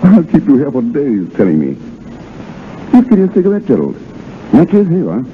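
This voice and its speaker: An actor in a 1930s radio drama playing a reserved war veteran turned writer, halting and evasively cheerful. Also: male voice, fairly high pitch, huge pitch swings, a little hiss or hum. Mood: forced cheer. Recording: muffled old 1930s radio recording, music behind.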